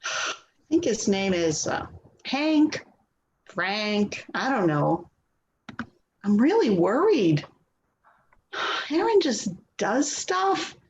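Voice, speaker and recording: Slow female voice, lively intonation, anxious hurt daughter acted by a woman, stage drama reading, faint noise. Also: mid-range voice, muffled recording, exaggerated voice. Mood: worried. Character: anxious, hurt grown daughter, worried and reproachful. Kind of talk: play reading, dramatic dialogue.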